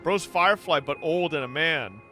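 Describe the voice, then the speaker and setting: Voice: mid-range voice, animated, normal speed, music in the background. Blunt, sweary game streamer. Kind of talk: casual live reaction commentary.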